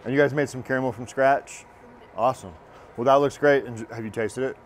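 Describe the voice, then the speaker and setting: Man in his thirties, relaxed culinary instructor demoing a dessert, lapel mic in a big kitchen, faint hum behind. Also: medium pitch, brisk. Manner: relaxed, encouraging, talks while working. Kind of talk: cooking demonstration. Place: large teaching kitchen, indoors.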